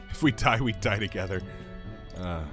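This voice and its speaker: A man chatting casually as a game streamer, giving live commentary and talking with viewers. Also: fairly low pitch. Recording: game music behind.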